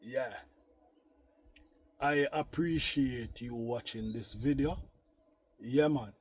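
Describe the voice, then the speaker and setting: A man with a Jamaican accent talking reflectively to viewers as a gardening vlog host, in a measured, philosophical manner that repeats phrases for emphasis. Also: mid-range voice, breathy edge. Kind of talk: reflective, unscripted talk to viewers.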